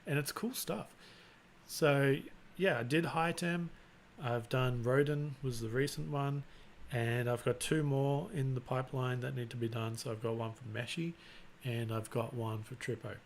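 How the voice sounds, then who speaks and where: A man hosting a live stream, chatting casually in a flat, rambling way and often restarting his sentences. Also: mid-range voice, unhurried, gravelly, a little hiss or hum. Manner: self-described monotone, rambling with restarts. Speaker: live-stream host and content creator.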